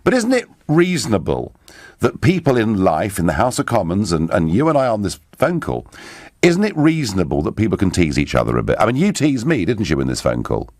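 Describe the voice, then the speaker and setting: A man's voice: lowish voice, wildly swooping pitch, fairly quick, a little mellow, no room sound, clean studio sound. A man hosting a radio phone-in, pressing callers on their views with a teasing, assertive manner. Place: radio studio.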